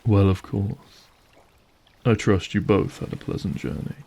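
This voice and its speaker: A man's voice: on the low side, steady tone, a little slow, gravelly, warm tone. A man playing a courtly, aristocratic monster lord in an audio drama, speaking with formal, gracious courtesy. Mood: smooth, diplomatic.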